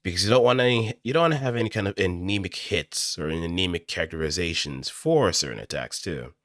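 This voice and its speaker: A man talking casually and off the cuff as he explains voice acting, thinking aloud in an animated, demonstrative way. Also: sits low, fairly quick, dry recording, studio quality. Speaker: amateur voice actor. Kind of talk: casual explanatory talk, improvised voice acting tutorial. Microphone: close studio microphone through audio interface.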